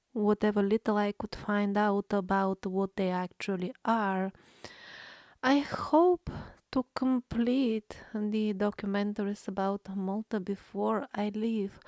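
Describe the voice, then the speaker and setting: Slow, even-toned woman narrating. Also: medium pitch, no echo. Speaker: documentary maker and narrator. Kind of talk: documentary voice-over addressing viewers.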